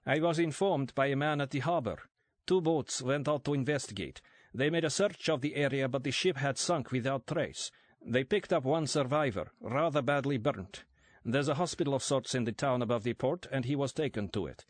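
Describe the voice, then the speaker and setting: A man's voice: mid-range voice, moderate pace, no room sound, pitched above the performer's own voice, lightly acted. A man voicing a nervous, deferential male Soviet subordinate with a Ukrainian accent, reading from an audiobook. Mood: dutiful report. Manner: eager, anxious, deferential.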